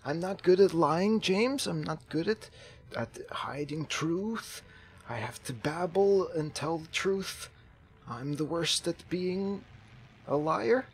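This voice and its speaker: A man roleplaying a kindly, babbling zombie apocalypse survivor in an online game, speaking haltingly and earnestly. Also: on the high side, lively intonation, husky, heavily acted. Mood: earnest confession. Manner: halting, earnest, folksy, repeats himself.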